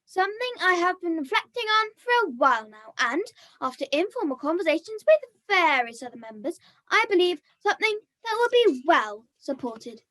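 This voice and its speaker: A woman's voice: very high-pitched, big pitch swings, normal speed, few pauses, fairly bright tone, heavily acted, rough recording. A woman playing a haughty, bossy princess puppet in a scripted comedy, speaking in a pompous, formal way.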